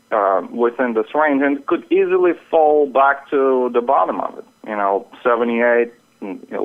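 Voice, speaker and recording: A man comes through a tinny, narrow phone connection. His voice sits at a medium pitch, and he explains like a market analyst being interviewed, working through points a little haltingly. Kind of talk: market commentary. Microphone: telephone. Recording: phone line with narrow, radio-like sound.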